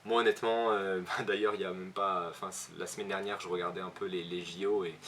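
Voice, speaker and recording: A man's voice: low voice, little pitch movement, fast, fairly bright tone, slight background noise. A young man with a northern French accent reviewing manga casually, with many fillers and self-corrections. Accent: Northern French (ch'ti). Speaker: manga video host. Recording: music playing behind.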